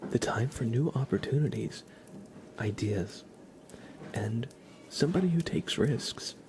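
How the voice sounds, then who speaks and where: A man speaking softly and gently in a casual ASMR livestream style, often drifting into a whisper. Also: mid-range voice, lively intonation, a little slow, background noise. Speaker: ASMR live streamer, host. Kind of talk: soft-spoken ASMR livestream, casual chat and tarot reading.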